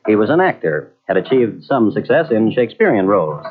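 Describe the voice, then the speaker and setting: A man narrating an old radio mystery in a measured, wry storytelling manner. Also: lowish voice, sing-song. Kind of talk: scripted narration. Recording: tinny old radio transfer from cassette.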